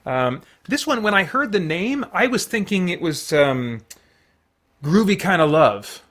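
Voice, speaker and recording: A man's voice: high voice, very animated, unhurried, a bit bright. A man chatting casually as a livestream host, in a rambling, self-deprecating way.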